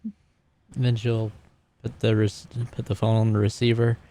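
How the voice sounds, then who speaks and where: A man's voice: fairly low pitch, little pitch movement, measured pace, mellow. A man talking casually as a player in a tabletop game, describing moves and checking rolls.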